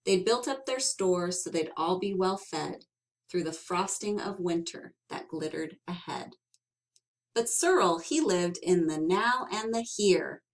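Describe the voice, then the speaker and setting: A woman reading a children's picture book aloud as an expressive storyteller, with dramatic emphasis and drawn-out words. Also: fairly low pitch, low-quality recording.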